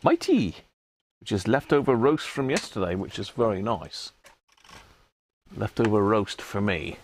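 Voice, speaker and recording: A man's voice: fairly low pitch, expressive, unhurried, a little hiss or hum. A British man hosting a livestream, chatting casually and colloquially as he thinks aloud. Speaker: livestream host. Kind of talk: casual rambling chat to live viewers.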